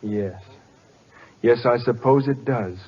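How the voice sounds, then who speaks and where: A man's voice: medium pitch, takes it slowly, slightly rough. A man playing an anxious, polite professor turned ballplayer in an old-time radio comedy, hesitant and fretful. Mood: troubled. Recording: muffled old radio broadcast recording.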